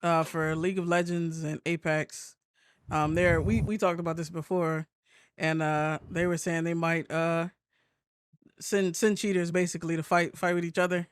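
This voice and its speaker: A man's voice: on the high side, little pitch movement, moderate pace, clear, resonant tone, no echo, clean studio sound. A man co-hosting a casual gaming news chat, hesitant in his phrasing and freely swearing. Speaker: podcast co-host.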